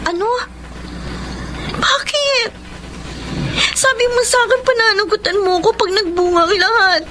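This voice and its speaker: An actress playing a petulant, demanding young Filipina granddaughter in a radio drama, whiny and insistent. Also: female voice, very high voice, moderate pace, noisy background. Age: young adult. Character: petulant, demanding pregnant nineteen-year-old granddaughter.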